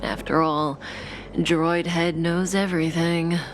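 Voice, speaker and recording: An actress playing a cool, enigmatic, teasing female schemer in a video game, with a languid, softly sardonic delivery and a velvety voice. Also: lowish voice, level tone, slow, slight background noise. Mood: calm, storytelling. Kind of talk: scripted video game character dialogue. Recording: studio voice over game music.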